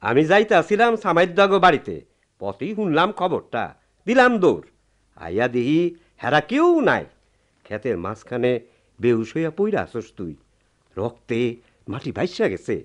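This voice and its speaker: An actor playing a steady, protective village friend in a Bengali radio drama, speaking urgently and expressively in a rural Bangladeshi dialect. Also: male voice, fairly high pitch, moderate pace, pauses often. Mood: indignant.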